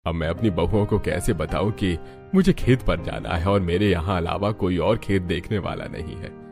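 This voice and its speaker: An actor playing a kindly, self-sacrificing older village father-in-law in a cartoon, with a gentle, reassuring manner. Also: sits low, normal speed, soft background music. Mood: troubled.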